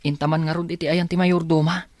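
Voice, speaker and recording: Guy playing a respectful young son in a radio drama, slightly high voice, dry sound. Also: level tone, quick pace, darkish tone, no echo, close to an everyday voice. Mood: casual. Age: young adult. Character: earnest young man, respectful son living in a dormitory. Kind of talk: radio drama acting in Ilocano.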